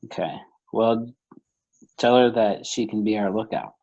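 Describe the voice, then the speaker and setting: A man in his thirties with a husky, somewhat low voice trades teasing jokes in easygoing podcast talk. His delivery is loose and offhand. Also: measured pace, a little mellow, muffled recording. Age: 30s. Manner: joking, teasing. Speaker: podcast co-host. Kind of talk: casual chat.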